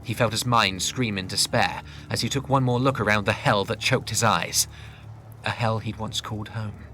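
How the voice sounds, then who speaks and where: A man's voice: on the low side, fairly even intonation, moderate pace, bright, forceful tone. A man reading dramatic scripted fantasy narration in a grave manner. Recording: faint noise and ambience.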